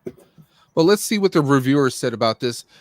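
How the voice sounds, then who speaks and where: A man co-hosting a live stream, giving casual movie commentary in a rambling, halting way as he searches for words. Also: mid-range voice, expressive.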